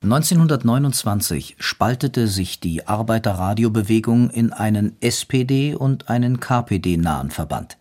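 A man's voice: lowish voice, animated, moderate pace, few pauses, darkish tone. A man reading scripted narration for a radio documentary.

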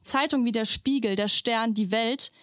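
A higher-pitched woman speaks in a very dry recording. She co-hosts a podcast in a colloquial storytelling style, reading out quotes with open indignation and emphasis. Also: moderate pace, a bit bright, dry recording. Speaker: podcast co-host.

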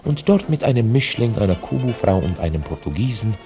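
An actor playing a reflective novelist who narrates his own story in a radio drama, measured and deliberate. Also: fairly low pitch, big pitch swings, music in the background. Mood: storytelling. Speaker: author-narrator.

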